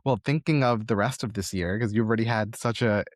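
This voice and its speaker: A man with a fairly low voice hosts a conversational interview. He is encouraging and generous with praise. The sound is dry, with very little room on it. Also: fairly quick, no echo. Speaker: podcast host interviewing a guest.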